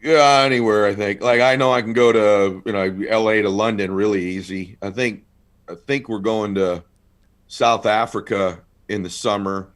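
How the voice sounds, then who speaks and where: A middle-aged man in casual conversation as a guest, telling stories with animated bursts of excitement. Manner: animated, quick storyteller, rising to excited highs. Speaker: guest speaker.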